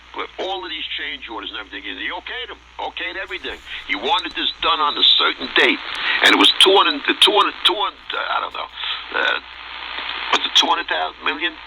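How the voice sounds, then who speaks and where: A man's voice: fairly high pitch, sing-song, brisk. A man chatting casually and at a rambling gait over a shortwave radio transmission. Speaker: amateur radio operator. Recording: muffled, noisy shortwave radio reception.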